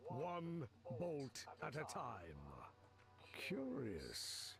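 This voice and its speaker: An actor playing a stern, imperious mutant warlord in a video game, speaking with grave, formal menace. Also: male voice, a little high, huge pitch swings, drawn-out words, vocal fry, over music, exaggerated voice. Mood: threatening.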